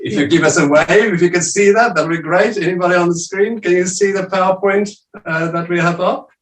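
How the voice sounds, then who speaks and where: A man's voice: sits high, a little fast. South African man, hesitant, roomy distant sound. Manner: hesitant, many "uh" fillers. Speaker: visiting church leader presenting. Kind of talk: casual talk on a video call. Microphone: video call.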